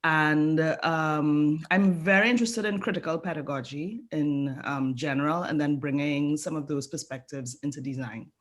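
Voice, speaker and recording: A woman from Trinidad and Tobago, a university design academic, introducing herself in a measured way on a video call. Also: low-pitched.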